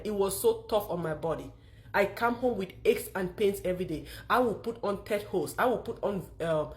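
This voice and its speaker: Middle-aged woman, Cameroonian accent, slightly low pitch, motivational testimonial, emphatic and repetitive delivery. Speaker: online marketer telling her own story.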